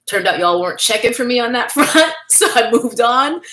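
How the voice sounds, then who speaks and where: A woman's voice: on the high side, lively intonation, normal speed, sharp tone. A woman from New York, hosting a casual livestream, chatting candidly and thanking viewers by name as she reads their comments. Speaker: livestream host.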